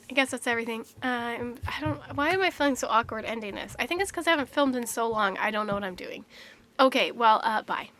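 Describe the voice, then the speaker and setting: A woman's voice: a little high, bright, forceful tone. A woman, a mother of three, chatting candidly to camera in a casual vlog, slightly congested and nasal, laughing often.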